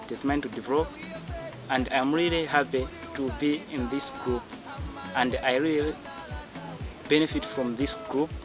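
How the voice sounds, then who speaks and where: A young man with a Malawian English accent, a sponsored student talking slowly and hesitantly, with many fillers, in an interview. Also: mid-range voice. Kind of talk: casual interview answer. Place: outdoors in a village.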